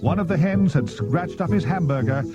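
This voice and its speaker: Animated male children's story narrator. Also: on the high side, normal speed. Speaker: audiobook narrator. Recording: dull cassette tape transfer. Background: music.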